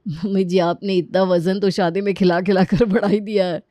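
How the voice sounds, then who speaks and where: An easygoing young husband voiced by a woman in an audio story. The voice is mellow and of middle pitch, recorded dry. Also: steady tone, moderate pace, dry recording, close to an everyday voice. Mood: polite protest.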